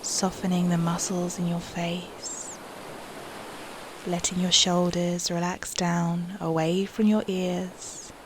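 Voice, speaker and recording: Slow, low-pitched woman guiding meditation. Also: little pitch movement, noisy background. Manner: soothing, gentle, unhurried with long pauses. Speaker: guided meditation host. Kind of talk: guided meditation narration.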